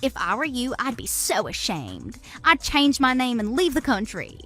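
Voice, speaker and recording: A woman's voice: a little high, big pitch swings, moderate pace, a bit bright. A woman playing a greedy, haughty, easily shocked mistress in a scripted audio drama. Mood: smug, gloating. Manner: haughty, gloating, incredulous. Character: smug, greedy, gold-digging young woman, easily shocked.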